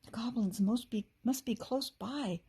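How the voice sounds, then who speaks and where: A woman's voice: a little high, measured pace, dark tone, breathy edge, voice pushed up, full character voice. A woman voicing a caring, eager and slightly anxious young girl adventurer in a children's story.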